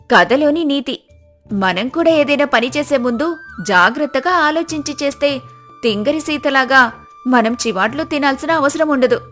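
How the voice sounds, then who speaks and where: Female storyteller, very high pitch, scripted moral-tale narration over faint music. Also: fairly bright tone.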